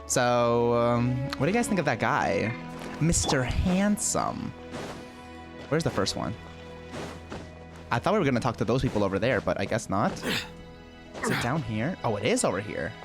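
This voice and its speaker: Man, game streamer, mid pitch, animated intonation, joking short reactions, music behind. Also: moderate pace, pauses often. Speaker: streamer playing a video game. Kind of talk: casual playful game reaction commentary.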